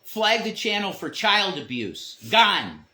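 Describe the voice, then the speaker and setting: A man's voice: high-pitched, takes it slowly. A man co-hosting a casual livestream, bantering emphatically with frequent swearing. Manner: emphatic, profane, lively banter. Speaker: streamer co-host.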